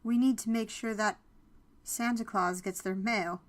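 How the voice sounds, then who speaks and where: A woman's voice: mid-range voice, steady tone, unhurried, natural voice. A woman playing a reserved, awkward executive, polite and hesitant, in an audio story. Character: reserved, awkward woman CEO hiding her identity. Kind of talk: audio fiction character dialogue. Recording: music under the voice.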